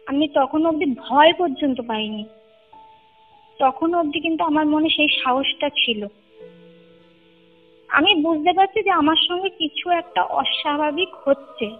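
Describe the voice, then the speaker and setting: A woman's voice: sits high, measured pace. A young woman with a Kolkata Bengali accent tells a personal story conversationally and emphatically, repeating points and checking that the listener follows. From Kolkata, India. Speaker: caller recounting a personal experience. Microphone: phone call. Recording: tinny phone line with music underneath. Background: clear music bed.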